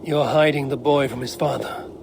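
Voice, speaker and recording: An actor playing a blunt, skeptical Viking warrior in a video game, terse, guarded and matter-of-fact. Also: male voice, mid-range voice, fairly even intonation, moderate pace, vocal fry, background noise, natural voice. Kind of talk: dramatic video game cutscene acting.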